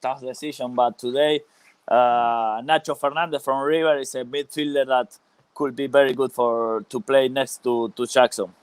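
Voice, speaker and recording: Guy being interviewed, smooth clear voice, halting, repeats stuff, dry sound. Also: medium pitch, steady tone, dry recording. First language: Spanish. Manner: halting, searching for words, repeats phrases. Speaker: former professional footballer, interview guest. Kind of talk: casual live interview.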